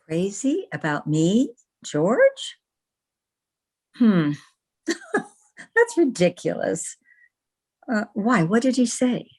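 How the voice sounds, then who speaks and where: A woman playing a brisk, skeptical but caring niece in an old-time radio comedy, dryly dismissive. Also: medium pitch, sing-song, a little mellow, breathy edge, heavily acted. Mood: skeptical, curious. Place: home, online video-call production.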